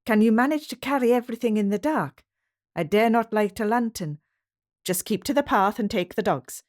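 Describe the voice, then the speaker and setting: A stern Scottish shepherd, put on by a woman reading a storybook aloud, snaps out short, firm commands in a raised, carrying voice. He guards his family and flock, and his words come clipped and forceful. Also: high-pitched, lively intonation, moderate pace, pitched above the performer's own voice. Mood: urgent. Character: terse Scottish shepherd man, protective of family.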